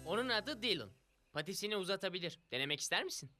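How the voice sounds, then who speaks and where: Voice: medium pitch, animated, fast. An actor playing a friendly, easygoing dog owner in a preschool cartoon dub, chatty and encouraging with a child. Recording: loud music under the dialogue.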